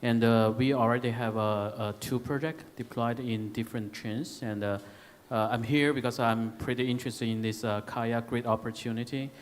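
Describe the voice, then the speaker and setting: A man with a Hong Kong accent asking a spontaneous, halting question from a conference audience. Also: medium pitch, steady tone. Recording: echoey noisy hall.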